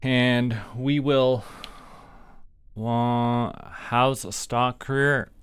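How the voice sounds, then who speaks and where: A man doing relaxed live game commentary, musing aloud in a halting, unhurried way. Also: mid-range voice, clear, resonant tone, no room sound. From Canada. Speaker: game streamer.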